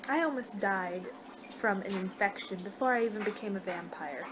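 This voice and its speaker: A woman's voice: medium pitch, moderate pace. Young American woman, noisy homemade recording. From United States. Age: young adult. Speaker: ASMR roleplayer playing a vampire. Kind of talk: casual ASMR roleplay. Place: living room indoors at home. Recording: noisy amateur webcam recording.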